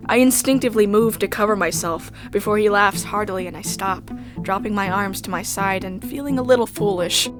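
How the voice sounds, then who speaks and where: A woman's voice: mid-range voice, brisk, close to an everyday voice. A woman voicing an earnest, easily flustered, curious young space traveller who narrates a visual novel in the first person. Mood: narrating. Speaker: narrator and player character. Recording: music behind the voice.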